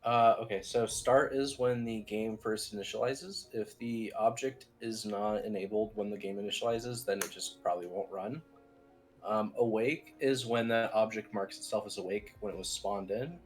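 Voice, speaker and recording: A man's voice: on the low side, moderate pace, faint music behind. A man giving an informal technical tutorial as team lead, explaining things in a loose, rambling way. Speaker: software team lead, instructor.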